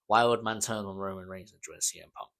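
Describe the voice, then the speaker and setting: Fast-talking, low-voiced young British man. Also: gravelly, a bit bright. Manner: slangy, informal, mild swearing. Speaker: podcast co-host. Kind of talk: casual chat about wrestling.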